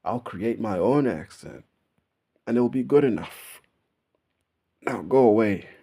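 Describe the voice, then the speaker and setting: A man's voice: fairly low pitch, animated, slow, creaky, mellow, slightly rough. A man with a Melbourne Australian accent, talking casually straight to his viewers in a laid-back, swearing monologue. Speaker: YouTuber.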